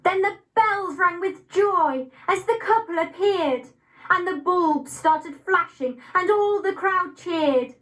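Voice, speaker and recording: A young woman of eighteen with an English accent, reciting poetry in a measured, deliberate, rhythmic way. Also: extremely high pitch, lively intonation, fairly bright tone, poor audio. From England. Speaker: poetry reader.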